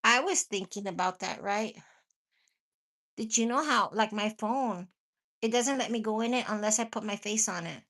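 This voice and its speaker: Chatty woman with a bright voice. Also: mid-range voice, normal speed. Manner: rambling, laughs often, swears casually. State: stuffy nose and cough. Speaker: livestream host chatting with viewers. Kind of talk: casual live chat.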